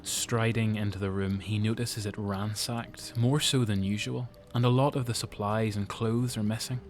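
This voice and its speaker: A man with a Northern Irish accent reading scripted, suspenseful dramatic story narration in deliberate phrases. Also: lowish voice, fairly even intonation, slight background noise. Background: music.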